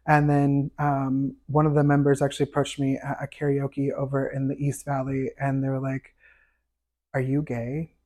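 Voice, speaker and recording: A man with a fairly high, light voice, chatting as a guest on a podcast and telling stories with many fillers. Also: completely flat delivery, normal speed, a little mellow. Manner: chatty, storytelling with fillers and laughs. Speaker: podcast guest, chorus tenor. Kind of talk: casual interview chat.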